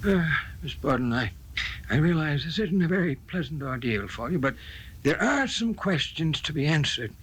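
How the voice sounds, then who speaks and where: Man as halting, uneasy investigator. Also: on the high side, sing-song. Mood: apologetic. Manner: halting, stammering. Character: hesitant, uneasy, sceptical male investigator. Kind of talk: 1970s live radio drama acting. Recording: hissy 1970s radio drama tape.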